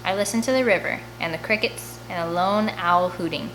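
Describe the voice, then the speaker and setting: A woman's voice: fairly low pitch, fairly quick, a bit bright, a little hiss or hum, poor audio. A woman reading a first-person children's novel aloud as an audiobook, telling the story expressively. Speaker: audiobook reader.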